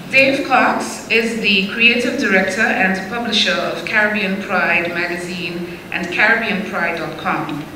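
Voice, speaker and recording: A woman formally reading aloud an introduction of the next speaker. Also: mid-range voice. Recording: echoey hall, faint noise.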